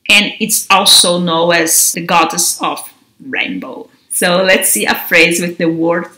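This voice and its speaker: Female teacher in her 30s, bright tone, unhurried and gentle. Recorded in a bare, echoey room. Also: lowish voice, fairly even intonation. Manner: gentle, enunciates each word slowly. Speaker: language teacher. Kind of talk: slow, careful teaching to camera. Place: large, bare indoor room. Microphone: camera mic at desk distance. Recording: roomy echo of an empty room.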